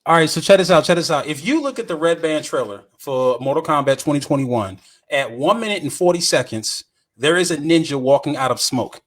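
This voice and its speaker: Higher-voiced man in casual podcast chat. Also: lively intonation, brisk. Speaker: podcast co-host. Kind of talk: casual chat, explaining game trivia.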